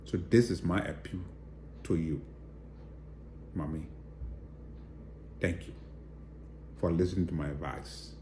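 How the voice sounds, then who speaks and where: A man's voice: sits low, slow pace, pauses often, mellow, reverberant, slight background noise. A man with a Liberian accent giving direct, emphatic political commentary and appealing to viewers. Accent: Liberian English.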